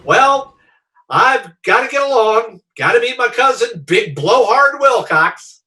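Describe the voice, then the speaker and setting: A man playing a cheerful, gossipy pitchman who spins tall tales in a classic radio comedy. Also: lively intonation, slow pace, bright, forceful tone, full character voice. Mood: jolly.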